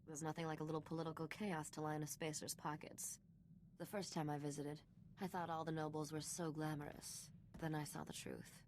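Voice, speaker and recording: Woman doing shrewd sardonic young smuggler lady, video game, really low dry knowing voice, bit of background noise. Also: level tone, a little fast, darkish tone, lightly acted. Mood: cynical. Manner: dry, knowing, self-possessed.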